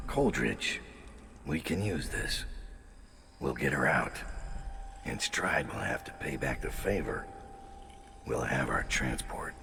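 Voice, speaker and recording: An actor playing a grim, weathered assassin of the criminal underworld, speaking in terse, deliberate lines in a brooding game monologue. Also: male voice, a bass voice, animated, background noise, full character voice. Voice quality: gravelly. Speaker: player character narrator. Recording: loud music behind the voice.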